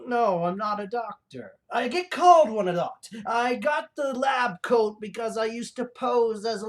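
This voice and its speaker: A performer voicing a cranky, self-important creature who poses as a professor and hosts a comedy show in a hesitant, stammering ramble. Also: medium pitch. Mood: flustered, defensive. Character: cranky fake-professor creature puppet host with claws, rambling, grandiose. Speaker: show host. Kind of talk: improvised in-character comedy monologue.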